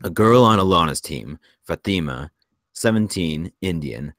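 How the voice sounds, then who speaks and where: Very deep-voiced male script narrator. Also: lively intonation, measured pace, a bit bright, dry recording, clean studio sound. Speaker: narrator reading stage directions.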